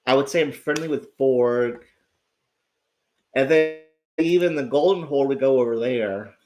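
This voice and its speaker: A man with a raspy, sick voice, chatting casually as host of his livestream, rambling and swearing with lots of restarts. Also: medium pitch, a little slow, a little mellow. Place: at home.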